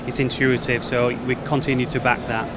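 A man's voice: medium pitch, level tone, fairly quick. A man in his thirties, a marketing manager, answering interview questions about products at a busy trade show with fluent, promotional talk. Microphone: handheld mic held by interviewer. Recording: noisy trade show floor. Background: crowd chatter and hall noise.

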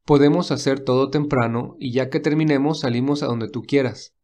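A man voicing a caring young male lead in a read-aloud romance, speaking softly with light teasing. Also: mid-range voice, fairly even intonation, fairly quick, natural voice. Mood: gentle.

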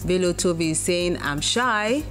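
A woman's voice: on the low side, animated, normal speed. A woman presenting scripted arts narration for television. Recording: loud music bed under voice. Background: loud music.

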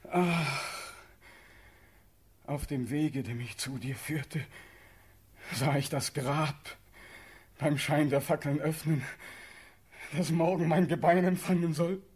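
Desperate young prince, breathy and slow. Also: male voice, fairly high pitch. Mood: horrified. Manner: impassioned, declamatory, swinging from hush to outcry. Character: desperate young prince condemned to die, pleading for his life. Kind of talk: classical German stage-verse radio drama acting.

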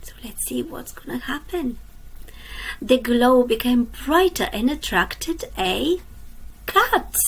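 Woman teacher, children's read-aloud at a webcam; slow and gentle, husky with some fry, quizzes the kids as she goes. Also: a little high, rough recording. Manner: slow, gentle, drops to a soft whisper at line ends; asks the young listeners questions. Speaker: teacher reading a storybook. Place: indoors at a computer.

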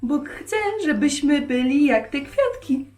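A woman voicing a kindly old grandmother who gently teaches her granddaughter in a children's story. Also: sits high, sing-song, slow pace, exaggerated voice. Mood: cheerful teaching. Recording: roomy echo, music behind.

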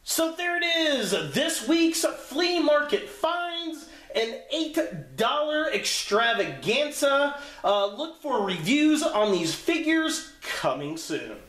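A man's voice: very high voice, sing-song, slow pace, hardly any pauses, fairly bright tone. A man chatting casually and enthusiastically as he shows off collectibles as a reviewer, often repeating words for emphasis. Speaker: toy collector and video reviewer. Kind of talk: casual chatty show-and-tell of figures. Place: small room indoors, tabletop display. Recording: roomy, echoing indoor sound.

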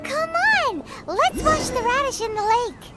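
Very high, bubbly anime game girl. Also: expressive, measured pace, over loud music. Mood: brisk, decisive. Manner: exclamatory, cutesy, theatrical. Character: bubbly, eager young girl characters in anime fantasy game. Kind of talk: animated video game voice acting.

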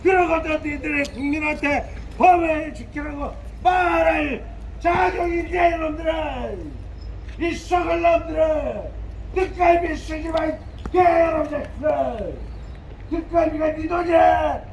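A man shouting a protest rant outdoors in a very high, strained voice. He drags out every line slowly, hurling insults in long angry cries, with faint street noise behind him. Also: fairly even intonation, vocal fry, rough recording.

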